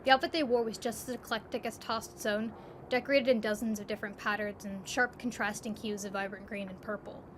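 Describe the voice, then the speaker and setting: A woman's voice: medium pitch, steady tone, fairly quick, noisy background. A woman narrating a scripted second-person story as the narrator.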